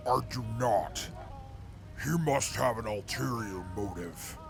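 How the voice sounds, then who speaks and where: An actor playing a reformed male mutant soldier in an audio drama, speaking in a formal, measured way. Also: on the low side, gravelly, music in the background. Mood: grave. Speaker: former enemy scout now with the crew.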